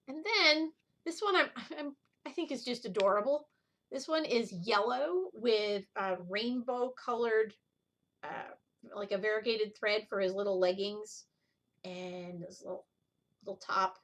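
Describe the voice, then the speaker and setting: A middle-aged woman hosts a casual craft vlog in a mid-pitched voice. She talks in a rambling, informal way, showing things as she goes and wandering into side remarks. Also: a bit bright. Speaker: needlework video host. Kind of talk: casual chat, show-and-tell vlog.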